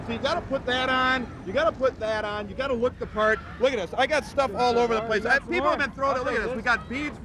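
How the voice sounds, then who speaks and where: A man doing lively live television banter, exuberant and teasing. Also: extremely high pitch, fast talker, hardly any pauses. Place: outdoors on a city street in rain. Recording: outdoor live shot, clear street noise.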